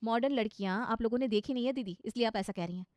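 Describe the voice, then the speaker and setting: Woman acting young earnest daughter in law, speaks her mind, high voice, fast, cartoon style. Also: darkish tone, no echo, studio quality, lightly acted. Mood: angry. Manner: earnest, outspoken. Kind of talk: cartoon story dialogue.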